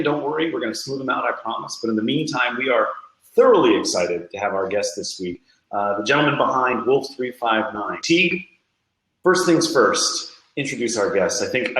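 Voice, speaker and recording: Male podcast co-host, medium pitch, playful and theatrical, recorded with noticeable room echo. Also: animated, brisk, a bit bright. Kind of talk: upbeat podcast introduction. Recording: roomy, echoing online call.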